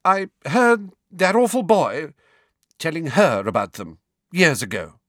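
A man voicing a fretful, doting middle-aged mother with a breathy, jerky delivery in a storybook reading. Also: a little high, very animated, moderate pace, a bit bright, no echo, studio quality, pitched above the performer's own voice. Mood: jerky, appalled. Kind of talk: audiobook character voice.

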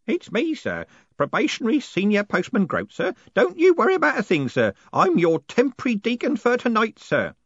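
A man voicing an elderly, deferential postman in an audiobook, eager and conspiratorial, with a hoarse edge. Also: extremely high pitch, wildly swooping pitch, moderate pace, a bit bright, no room sound, highs cut off, pitched above the performer's own voice, full character voice. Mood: solemnly helpful. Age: older. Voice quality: hoarse whispering. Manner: servile, punctuating speech with "sir".

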